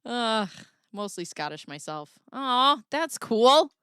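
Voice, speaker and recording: A woman's voice: a little high, very animated, takes it slowly, a bit bright, clean tone, no echo, clean studio sound. A young woman with a Midwestern accent hosting a casual livestream, reading her chat aloud, laughing often and swearing freely. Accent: Midwestern American. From American Midwest.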